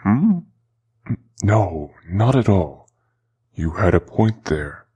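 A woman voicing a weary, gloomy male skeleton scientist in a cartoon comic dub, husky, measured and formal. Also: extremely low pitch, expressive, warm tone, lo-fi sound, full character voice. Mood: polite, subdued. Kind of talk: fan comic dub acting.

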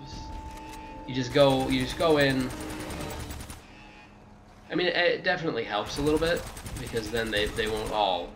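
A man talks through a video game as a casual walkthrough host. His pitch is middling and he rambles, backtracking and tossing in jokey asides. Some music plays behind him. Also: moderate pace, long pauses. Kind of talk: casual gameplay walkthrough commentary.

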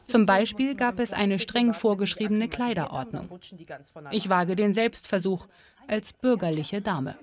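A woman narrating a history documentary in a calm, informative and lightly playful manner, and also reporting on camera. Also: medium pitch, a little slow. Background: music.

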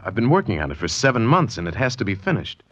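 A man's voice: fairly low pitch, sing-song, fast talker, fairly bright tone, slightly rough, exaggerated voice. An actor playing a troubled, earnest artist in his thirties in a 1940s radio drama. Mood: troubled, insistent. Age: 30s. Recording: dull vintage radio recording with noise.

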